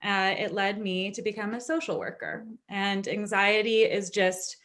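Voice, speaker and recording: Woman acting reassuring daughter, slow and halting. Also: medium pitch, a bit bright, close to an everyday voice. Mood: earnest, reflective. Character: reassuring adult daughter, a social worker, reasoning with father. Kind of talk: staged reading of a play.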